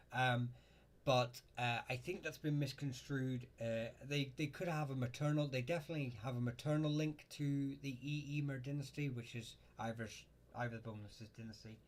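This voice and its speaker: A man hosting a casual live Q&A, answering viewer questions in a halting way with lots of ums and uhs. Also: medium pitch, steady tone.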